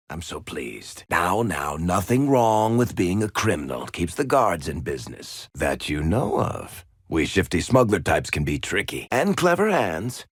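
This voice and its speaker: A low-pitched, sardonic dwarf rogue spins his lines with a very lively, swooping delivery. He is a glib, teasing storyteller with shifty charm, a video game character recorded close and dry. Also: slightly rough, no echo, heavily acted.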